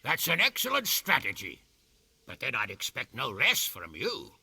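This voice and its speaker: A man's voice: mid-range voice, wildly swooping pitch, normal speed, gravelly, sharp tone, slightly rough, no room sound, heavily acted. An actor playing a boisterous, battle-hungry medieval nobleman in a video game, with a hearty, blunt, swaggering manner. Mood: gleeful, confident. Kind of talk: dramatic video game cutscene acting.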